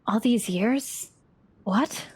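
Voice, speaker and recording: A woman's voice: on the high side, extremely slow, darkish tone, husky. A woman streaming a game with casual, playful reaction commentary, chatting to the characters as she plays. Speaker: gaming streamer.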